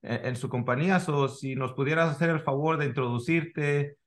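A man's voice: a little high, moderate pace. A man hosting a podcast interview, asking questions with lots of restarts and slipping English words into his Spanish. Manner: hesitant, many fillers and restarts, mixes English into Spanish. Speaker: podcast host. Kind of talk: casual interview chat.

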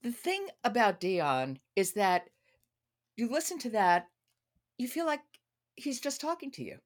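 A woman hosting a casual audiobook review chat, speaking in an expressive, playful and emphatic way. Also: on the high side, unhurried. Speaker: podcast host.

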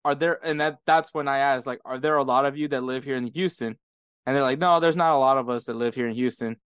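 A man's voice: medium pitch, quick pace, clear, resonant tone, no room sound. A man with an American accent, co-hosting a podcast and telling stories in a casual chat, laughing often. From United States. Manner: laughing often, animated storytelling. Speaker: podcast co-host.

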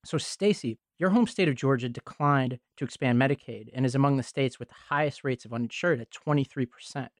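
A man's voice: mid-range voice, no echo. Man reading the news, dry close mic. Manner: brisk, joking, then steady factual delivery. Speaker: podcast co-host.

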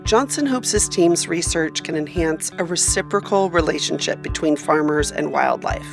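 A woman's voice: sits low, moderate pace, creaky, music in the background. A woman radio reporter reading scripted narration for a feature story.

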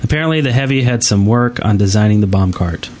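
A man's voice: lowish voice, animated, fast, background noise. A man co-hosting a gaming podcast in casual chat and reading out listener mail.

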